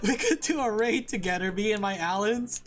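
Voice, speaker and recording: A male vtuber who chats loosely with his audience while he draws. He rambles, laughs often and makes fun of himself. Soft music plays faintly behind him. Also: sits high, lively intonation, moderate pace. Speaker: vtuber streamer. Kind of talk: casual livestream chat while drawing.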